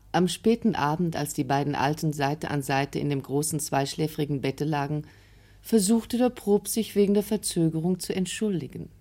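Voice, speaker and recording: Low, very mellow woman narrator. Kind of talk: scripted literary narration.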